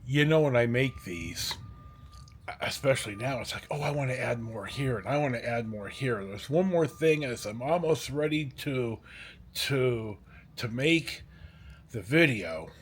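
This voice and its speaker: Animated older American man telling stories. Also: mid-range voice, slight background noise. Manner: animated, halting and digressive, acts out dialogue. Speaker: vlogger telling personal stories. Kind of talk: casual rambling storytelling.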